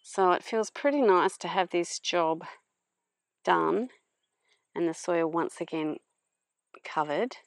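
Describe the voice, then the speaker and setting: A middle-aged woman narrating a farm vlog in a plain-spoken, unhurried explaining manner. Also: fairly low pitch, dry recording. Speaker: vlogger, permaculture farmer.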